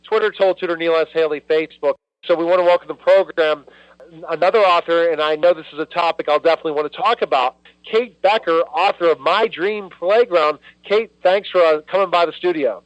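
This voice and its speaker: A man's voice: on the high side, brisk, dry recording. Enthusiastic man hosting live radio. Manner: enthusiastic, chatty, rambling. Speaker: radio host. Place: radio studio. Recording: dry lo-fi radio audio.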